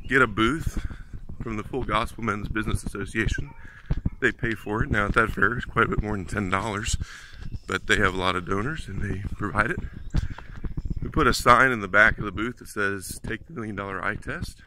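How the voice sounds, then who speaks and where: A man's voice: lowish voice, bright, forceful tone, slight background noise, rough recording. A man, telling stories in a casual, earnest way as he walks and films himself outdoors on a suburban street. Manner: conversational, earnest, storytelling. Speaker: ministry vlogger. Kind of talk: casual walking vlog. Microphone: phone at arm's length while walking.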